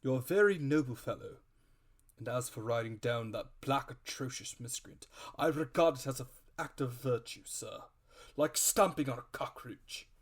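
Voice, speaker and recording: A man's voice: mid-range voice, moderate pace, lightly acted. A man voicing a bluff, pompous, hot-headed country squire in a storybook reading, stately and exclamatory. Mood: pompous, approving.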